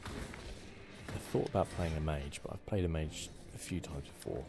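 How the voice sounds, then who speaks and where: A man giving relaxed ASMR game commentary, musing and rambling with a hesitant delivery. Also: a bass voice, normal speed, gravelly, music in the background. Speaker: gaming channel host.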